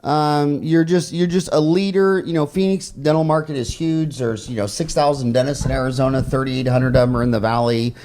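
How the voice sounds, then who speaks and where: Halting man interviewing on a podcast. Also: medium pitch, steady tone, a little mellow. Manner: halting, repeats phrases, anecdotal. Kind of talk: conversational interview. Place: dining room at home.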